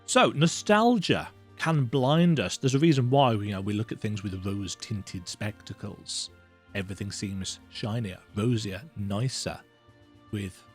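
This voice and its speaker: A man's voice: fairly low pitch, breathy edge. A man in his thirties giving casual, rambling game commentary in a reflective, self-deprecating, conversational way. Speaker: video game let's play host.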